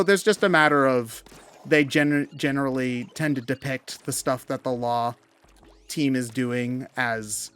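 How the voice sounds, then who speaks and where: A man chats as co-host of a gaming commentary. His delivery is casual and opinionated, building into animated rants with loose, casual swearing. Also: mid-range voice. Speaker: co-host of a video game commentary show.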